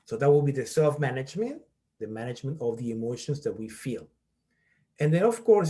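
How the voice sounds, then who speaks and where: A man's voice: mid-range voice, warm tone. Earnest Peruvian-born man teaching online. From Peru. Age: middle-aged. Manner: earnest, explaining step by step with frequent "okay?". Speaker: college professor leading a workshop. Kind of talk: online workshop presentation.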